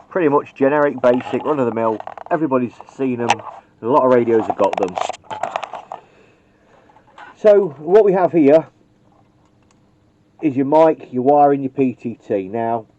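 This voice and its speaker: A man's voice: medium pitch, darkish tone, no room sound. A man casually demonstrating how to wire a radio microphone, rambling and chatty, with frequent ums and the odd mild swear word. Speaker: radio hobbyist demonstrating wiring. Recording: dull, lo-fi home video sound.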